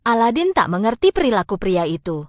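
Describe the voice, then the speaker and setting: A woman narrating a scripted fairy tale in a dramatic, expressive storytelling manner. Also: a little high, fairly quick, no room sound, clean studio sound.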